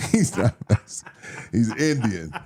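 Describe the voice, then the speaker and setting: A man with a low, deep voice talks sports on a casual show. He swears freely and gets animated, often slipping into mock rants as a team owner. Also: extremely slow, gravelly, slightly rough. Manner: animated, profane, riffs in character as a team owner. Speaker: co-host.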